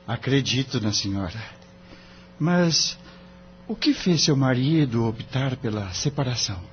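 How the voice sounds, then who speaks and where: A man's voice: mid-range voice, expressive, measured pace, slight background noise, natural voice. A Brazilian man playing a young, idealistic novice lawyer in an audio drama, polite, earnest and hesitant. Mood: gentle, probing. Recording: dull recording with faint music bed.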